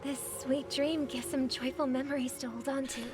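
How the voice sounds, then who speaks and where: An actress playing a gentle, compassionate young woman in a video game, polite and earnest in her delivery. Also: high voice, steady tone, normal speed, background noise, lightly acted. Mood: solemn, tender. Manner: polite, soft-hearted.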